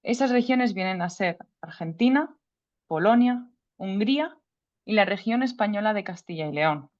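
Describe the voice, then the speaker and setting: A woman presents at an online seminar in a measured, formal reading voice and slips in the odd casual aside. Her pitch sits in an ordinary middle range. The sound is muffled and lo-fi, like audio from a video call. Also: lively intonation, a little mellow.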